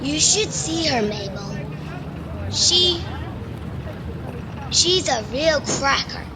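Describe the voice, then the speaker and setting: An amateur actress with a Scottish accent playing a cheeky, daydreaming schoolboy in a radio play, eager and boastful. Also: female voice, high-pitched, animated, very slow, a crowd in the background, exaggerated voice. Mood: dreamy, earnest. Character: cheeky, daydreaming schoolboy, butcher's son under sixteen. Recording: noisy remote home recording.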